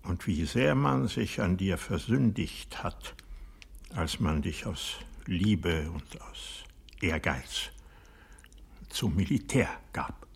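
Old aristocrat, bitter and proud, lowish voice, very slow, breathy half-whisper, intense, accusing, radio drama monologue. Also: male voice, expressive, full character voice. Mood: wistful, reproachful. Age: older. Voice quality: husky, breathy, often dropping to a whisper. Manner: deliberate, halting, intense, accusing. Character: bitter, proud old aristocratic man, former hunter, brooding over betrayal.

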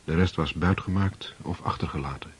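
Deep-voiced male drama narrator. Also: fairly quick, husky. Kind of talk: scripted radio drama narration.

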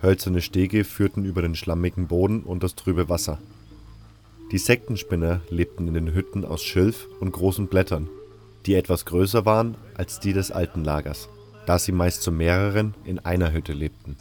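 A man's voice: deep voice, steady tone, normal speed, a little mellow. A man narrating a scripted fantasy audio drama in the first person as a storyteller. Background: music.

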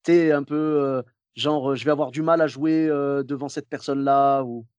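A man's voice: mid-range voice, fairly even intonation, normal speed, darkish tone, clean tone, no room sound, muffled recording. A man hosting a podcast interview, asking chummy, casual questions. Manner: friendly, chummy questions, laughs along.

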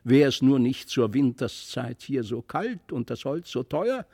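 A man's voice: medium pitch, sing-song, darkish tone, husky, no echo, clean studio sound. Man voicing a stately, grave old storybook stork. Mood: grave, contented. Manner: measured, formal, old-fashioned phrasing. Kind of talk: storybook reading.

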